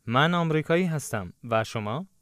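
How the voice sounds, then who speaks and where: A man narrating a language lesson, giving clear, instructive prompts in a scripted style. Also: fairly high pitch, animated, very fast, a bit bright, no echo, clean studio sound.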